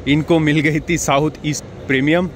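A young man vlogging with a handheld camera at an outdoor cricket stadium, chatting excitedly to his viewers. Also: a little high, normal speed. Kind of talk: casual walking vlog. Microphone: handheld action camera. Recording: noisy outdoor crowd ambience.

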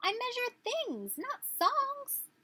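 A woman voicing a small, clever storybook inchworm with a thin, squeaky, childlike and emphatic delivery. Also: very animated, slow, background noise, exaggerated voice. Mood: puzzled.

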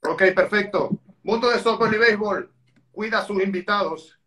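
A man's voice: sits high, moderate pace, pushed and bright, slight background noise. A man hosting an online interview over a video call from a dining room at home, speaking formally like an announcer. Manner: formal, announcer-like, with baseball flourishes. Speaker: interviewer, channel host. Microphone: webcam on a video call.

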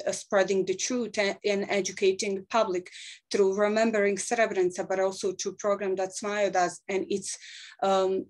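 A Bosnian-accented woman in her thirties speaks English earnestly on a video call, halting now and then between phrases as she thinks aloud. Her pitch sits in the ordinary middle range for a woman. She is picked up by a webcam in a small book-lined office. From Bosnia and Herzegovina. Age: 30s. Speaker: panel speaker. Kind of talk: webinar talk. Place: small office with shelves of books, indoors.

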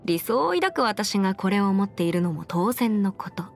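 A woman's voice: mid-range voice, very animated, normal speed, vocal fry. A woman voicing a confident, clever young female legal advisor in an anime game, articulate and slightly boastful, with playful pride. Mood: proud, confident. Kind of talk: Japanese anime game character voice lines. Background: music.

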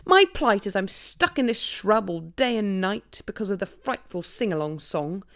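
A woman's voice: medium pitch, expressive, normal speed, no room sound, clean studio sound, voice pushed up, close to an everyday voice. A woman voicing an indignant, complaining partridge stuck in a pear tree, in a rhymed storybook poem.

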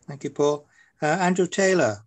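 A man's voice: fairly high pitch, normal speed. A man chairing an online meeting, calling on members one by one for their questions.

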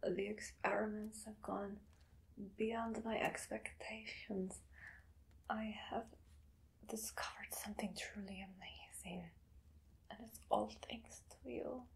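A woman playing a menacing, obsessive female vampire hunter in a whispered ASMR roleplay, slow and deliberate. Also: medium pitch, fairly even intonation, vocal fry, rough recording. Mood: quietly gloating. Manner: slow, hushed, deliberate, dramatic pauses. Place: small dim room indoors.

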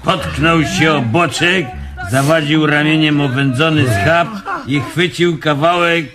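Actor as a slow pulp-fiction reader over music. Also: male voice, a little high, lightly acted. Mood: savouring. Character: man reading pulp fiction aloud. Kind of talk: radio comedy drama acting.

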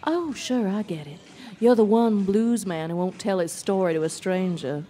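A woman playing a sly, dark-toned barroom flirt. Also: medium pitch, normal speed, natural voice. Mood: teasing. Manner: teasing, sly, persistent. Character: flirty, probing, worldly woman stranger in a bar. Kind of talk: live radio drama acting.

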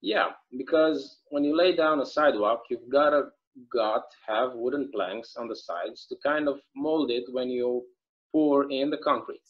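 A man's voice: medium pitch, muffled recording, lightly acted. A man reading the part of a plainspoken small-town local at a video-call table read. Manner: folksy, plainspoken.

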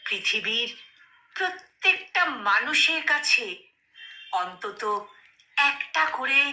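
A woman reciting poetry in a dramatic, expressive way, with deliberate phrasing and pauses. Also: on the high side. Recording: roomy echo on the voice.

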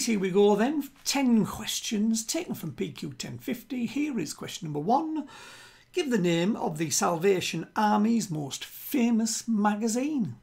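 Gravelly older British quiz host, a man. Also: sits high, darkish tone. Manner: deliberate, repeats himself. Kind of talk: reading quiz questions to camera. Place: small room at home with bookshelves.

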